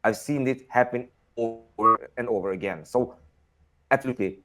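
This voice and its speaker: A man of middle pitch speaks straight to his viewers as host. He leans on his words to stress a point, in a plain conversational tone. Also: normal speed, pushed and bright. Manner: emphatic, addresses the audience directly. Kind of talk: conversational commentary.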